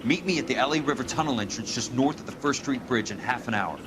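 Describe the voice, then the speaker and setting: A man's voice: mid-range voice, expressive, fast talker, background noise, lightly acted. A man playing a hard-boiled 1940s investigator in a detective game, grim and urgent.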